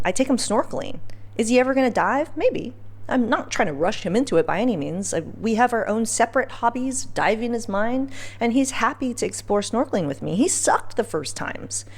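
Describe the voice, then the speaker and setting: A woman's voice: medium pitch, darkish tone. A woman hosting a podcast, telling personal stories in a casual, candid way with informal slang and emphatic phrasing. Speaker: podcast host.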